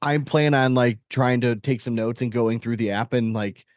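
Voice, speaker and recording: A man's voice: mid-range voice, normal speed, darkish tone, no echo, clean studio sound. A man co-hosting a podcast in casual banter, swearing freely and airing blunt opinions. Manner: profane, opinionated. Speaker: podcast co-host.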